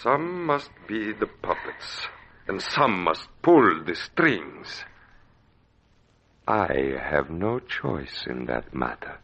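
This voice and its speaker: An actor playing a bitter, menacing, deformed puppeteer with theatrical, mocking speech in an old radio horror drama. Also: male voice, low-pitched, big pitch swings, extremely slow, a bit bright, exaggerated voice. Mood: obsessed, threatening. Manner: theatrical, ranting, with mocking rhetorical questions. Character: bitter, menacing, twisted puppeteer with withered legs and crushing arms. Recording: muffled vintage radio recording with music.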